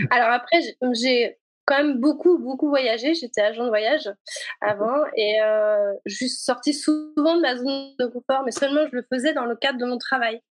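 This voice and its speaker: A woman in her forties with a fairly high voice answers questions in a halting way, full of pauses and fillers and quick laughs. The sound is dry and close. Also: dry recording, faint music behind. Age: 40s. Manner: hesitant, many fillers, laughs often. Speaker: guest, a reader of the book. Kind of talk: casual interview answers.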